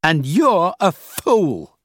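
A man's voice: sits high, sing-song, extremely slow, gravelly, fairly bright tone, breathy edge, dry recording, clean studio sound, pitched above the performer's own voice, full character voice. A man voicing an angry, arrogant, dismissive businessman fortune teller in an audiobook, blustering and impatient. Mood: scornful, gloating. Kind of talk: audiobook character acting.

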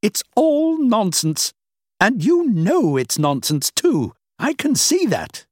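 A man voicing a sharp, skeptical older woman who lectures and scoffs, in an audiobook reading. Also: high-pitched, wildly swooping pitch, takes it slowly, no echo, clean studio sound, voice pushed up, exaggerated voice. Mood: scornful. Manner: scornful, lecturing, emphatic.

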